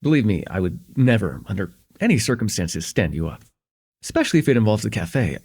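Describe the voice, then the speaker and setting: A man playing a shy, smitten young student in an intimate ASMR roleplay, with an earnest, hesitant delivery and a husky, slightly gravelly voice. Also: lowish voice, sing-song, a little fast, full character voice. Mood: warm, teasing. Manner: hesitant, earnest, stammering, chuckling softly between phrases.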